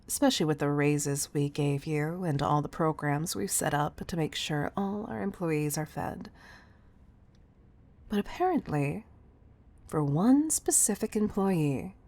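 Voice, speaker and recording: A woman voicing a stern yet doting tough-love CEO in an audio roleplay, speaking in a measured, condescending way with pet names. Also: sits low, darkish tone. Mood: ominous, teasing. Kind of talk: scripted audio roleplay addressed to the listener.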